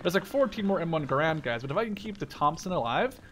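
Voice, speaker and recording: A man in his twenties giving casual, sweary commentary over first-person shooter gameplay in an excitable manner. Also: a little high, quick pace, background noise. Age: 20s. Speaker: gaming video creator. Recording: loud gunfire and explosions behind. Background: war game sound effects, gunfire, machine guns.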